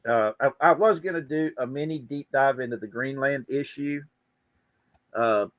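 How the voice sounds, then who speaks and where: A man with a bright, forceful voice hosts a casual livestream in a close, dry sound. He rambles through commentary and slips in joking asides. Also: mid-range voice, no echo. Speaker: livestream host. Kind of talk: casual chat and political commentary.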